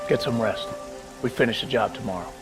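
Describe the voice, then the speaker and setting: An actor playing a confident special forces commander who gives clipped, matter-of-fact orders in a video game cutscene. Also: male voice, mid-range voice, brisk, loud music behind the voice, lightly acted. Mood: firm, confident. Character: decisive male special forces squad commander, terse, confident. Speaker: military squad commander. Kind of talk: video game cutscene acting, mission briefing.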